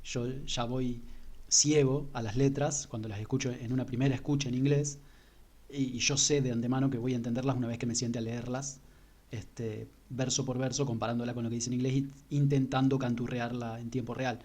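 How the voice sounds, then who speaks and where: Male co-host, warm mellow tone, thoughtful steady delivery. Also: mid-range voice. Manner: measured, reflective, some restarts. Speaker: podcast co-host reviewing an album. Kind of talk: casual spoken album review.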